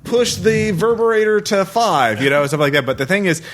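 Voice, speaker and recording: A man hosting a podcast, chatting casually about games in a rambling, halting way. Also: high voice, lively intonation, fairly bright tone. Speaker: podcast host.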